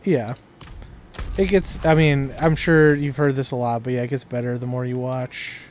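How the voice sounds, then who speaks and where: A man's voice: mid-range voice, fairly even intonation, moderate pace, darkish tone, slight background noise. A man in casual, slangy gameplay chat, joking as he goes with his co-op partner. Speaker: gaming streamer, co-op player.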